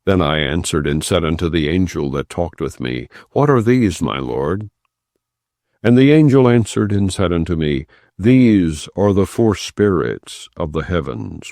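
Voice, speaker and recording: Male narrator, low pitch, mellow dark timbre, solemn scripture reading, deliberate pauses, plain undramatized delivery. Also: lively intonation, no echo, clean studio sound. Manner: measured, deliberate phrasing with pauses between clauses. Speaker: audiobook narrator.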